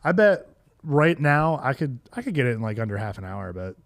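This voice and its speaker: Guy podcast cohost, mid pitch, dry close sound, casual and sweary, kinda boastful. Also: very animated, a little fast, no room sound. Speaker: podcast co-host. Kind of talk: casual panel chat about retro games.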